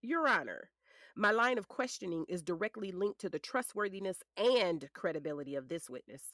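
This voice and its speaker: A woman's voice: lowish voice, expressive, fairly quick, fairly bright tone, dry recording, clean studio sound. A woman playing a polished defense attorney in a courtroom drama, formal, methodical and pointed. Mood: pointed, cutting. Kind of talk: audio drama acting.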